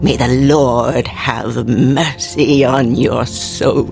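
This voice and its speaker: An actress playing a solemn, cryptic fortune teller in a radio drama, speaking in formal mystical pronouncements. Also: female voice, a bass voice, fairly even intonation, drawn-out words, vocal fry, a little mellow, soft background music, exaggerated voice. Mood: grave, ominous.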